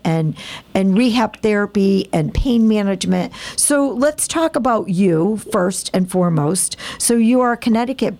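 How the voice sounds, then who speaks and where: Hoarse, raspy woman hosting a show. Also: mid-range voice, a little mellow. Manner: chatty, upbeat, laughs often. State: hoarse, losing her voice. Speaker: hospital show host. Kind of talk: casual talk show hosting.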